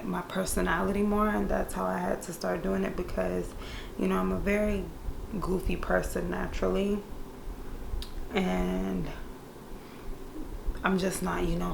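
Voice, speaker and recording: A young woman doing a makeup tutorial in casual chat, laid-back and monotone by her own account, with playful slangy asides to viewers. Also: fairly low pitch, gravelly, echoey room, background noise. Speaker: beauty vlogger. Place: room indoors. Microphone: camera mic.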